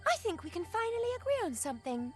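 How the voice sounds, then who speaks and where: A woman voicing a wise, gentle light inner voice in a video game, speaking calmly in proverbs. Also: extremely high pitch, fast, soft background music. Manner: calm, proverb-quoting.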